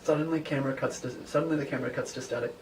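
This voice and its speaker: A man's voice: medium pitch, fairly even intonation, very fast, vocal fry. A man informally narrating a script, reading out its stage directions. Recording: noisy recording, distant from the mic.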